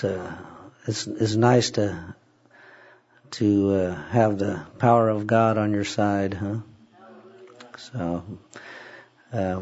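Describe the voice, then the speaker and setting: A man with a raspy, hoarse voice leading a church service, talking casually and haltingly with many fillers. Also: lowish voice, darkish tone. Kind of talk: casual talk from the pulpit. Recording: dull lo-fi church recording.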